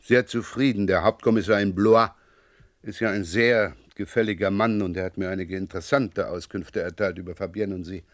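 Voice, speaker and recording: Man playing a low-voiced, probing police inspector. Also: fairly even intonation, dry recording, clean studio sound, close to an everyday voice. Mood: politely probing. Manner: polite, probing, unhurried with hesitant repetitions. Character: persistent, dryly sceptical French police inspector, male. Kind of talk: German crime radio play acting.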